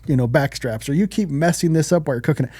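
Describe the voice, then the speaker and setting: A man's voice: a little high, racing, warm tone, breathy edge, dry recording, clean studio sound. A man co-hosting a podcast in casual conversation, talking bluntly and plainly with some casual swearing. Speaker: podcast co-host.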